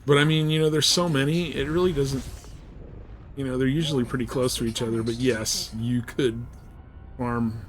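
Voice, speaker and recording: A man's voice: mid-range voice, steady tone, moderate pace. A man livestreaming a video game with casual commentary, rambling a little as he thinks aloud. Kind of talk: casual live gameplay commentary.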